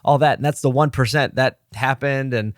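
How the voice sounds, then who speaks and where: A man hosting a solo podcast from his office desk, slightly hoarse and low on energy, talking in a rambling, candid way and pepping himself up as he goes. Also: moderate pace, dry recording, clean studio sound. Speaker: podcast host. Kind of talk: casual solo podcast talk.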